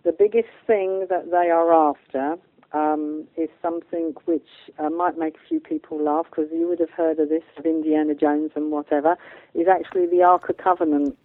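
A woman's voice: low voice, normal speed, no echo. Woman on a phone-like line, explaining informally. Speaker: guest medium and channeller. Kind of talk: interview talk, explaining spiritual beliefs.

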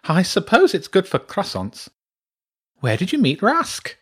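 Man putting on a high, swooping badger priestess. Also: wildly swooping pitch, normal speed, exaggerated voice. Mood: scornful, curious. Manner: theatrical, exclamatory, grumbling. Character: eccentric, haughty female badger priestess with big claws. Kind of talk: character voice in a children's audiobook.

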